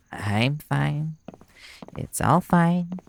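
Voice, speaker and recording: A man acting in an audio roleplay as a nervous, caring young vampire's human partner, speaking in a halting, stammering way. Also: a little high, vocal fry, a bit bright, slight background noise, heavily acted. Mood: reassuring, nervous.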